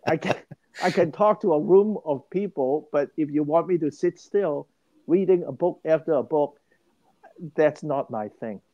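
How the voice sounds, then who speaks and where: A man's voice: a little high, normal speed, no room sound, muffled recording. An older man from Hong Kong tells his life story as an interview guest. He speaks with emphasis and often restarts his phrases. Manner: animated and emphatic, many restarts.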